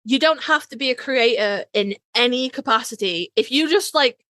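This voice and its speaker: A woman with a fairly high voice co-hosts a casual podcast. Her speech is slangy and informal, recorded very dry at a desk microphone. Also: normal speed, pushed and bright, no echo. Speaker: podcast co-host.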